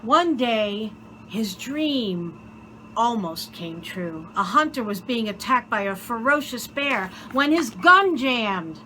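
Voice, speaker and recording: A woman reading a children's story aloud in an expressive, theatrical way, with deliberate dramatic pauses. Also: fairly high pitch, background noise. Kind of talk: expressive scripted story narration.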